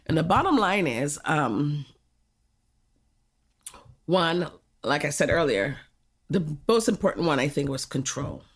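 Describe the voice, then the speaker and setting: A woman's voice: sits low, sing-song, unhurried, long pauses, breathy edge. An older woman from Jamaica talking frankly in a podcast conversation, often laughing as she reflects.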